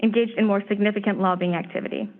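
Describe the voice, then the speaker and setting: A woman staff presenter giving a formal, measured briefing on proposed legislation, her voice slightly hoarse. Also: mid-range voice. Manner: steady, measured, reads prepared remarks. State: slightly hoarse, clearing throat. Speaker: staff presenter at a commission meeting. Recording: tinny phone-line audio.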